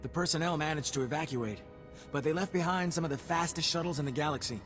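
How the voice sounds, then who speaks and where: A man playing a brash, harried squad leader in a video game, talking in an informal, brisk military way. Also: on the high side, fairly bright tone, natural voice. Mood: brisk briefing. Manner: informal, brisk soldier talk. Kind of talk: video game cutscene acting. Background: game music.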